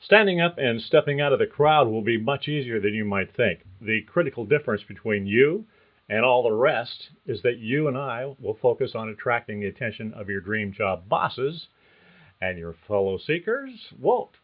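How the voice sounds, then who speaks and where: A man's voice: medium pitch, lively intonation, normal speed, narrow, tinny audio. An older man, a career coach and podcast host, reading a scripted monologue in a folksy, reassuring tone.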